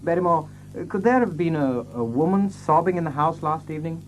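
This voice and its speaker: An actor playing a genial, hesitant gentleman heir in a radio drama, musing and hemming as he talks. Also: on the high side, fairly quick, a little hiss or hum, natural voice, low-quality recording. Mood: musing, authoritative.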